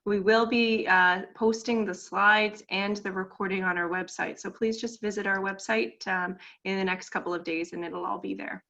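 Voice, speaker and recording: A woman's voice: medium pitch, fairly even intonation, moderate pace, hardly any pauses, pushed and bright, muffled recording. A woman moderating a webinar, making polite, brief announcements to the audience.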